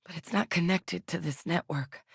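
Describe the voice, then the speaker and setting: An actress playing a composed, capable female scientist in a game, speaking in a measured, matter-of-fact, dry way. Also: lowish voice, gravelly, husky, no echo, exaggerated voice. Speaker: scientist working alongside the captain. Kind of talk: game character voice lines.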